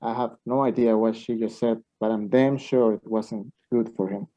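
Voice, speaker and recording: A man's voice: on the low side, fairly even intonation, normal speed, mellow, close to an everyday voice. A man playing a blunt, competitive, lovestruck young student in a radio play, challenging those around him. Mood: jealous, insistent. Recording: dull muffled lo-fi recording.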